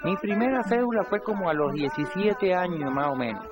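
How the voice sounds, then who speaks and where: A Venezuelan man talks in a plain, homely way, his slightly raised voice set under loud backing music. It sounds like a short testimonial in a promo spot. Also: sits high, animated, brisk. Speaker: testimonial speaker.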